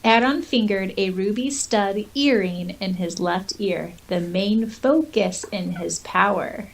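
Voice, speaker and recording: A woman co-hosting a podcast, chatting casually and reading notes aloud in a giggly, animated way. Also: medium pitch, slow. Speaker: podcast co-host.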